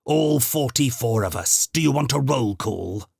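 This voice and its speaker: An imperious old housekeeper, as a man puts her on, hissing her orders with loud emphasis. The voice is pushed higher than the reader's own and sounds dry and close. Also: lively intonation, unhurried, gravelly, husky, dry recording, full character voice. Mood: furious.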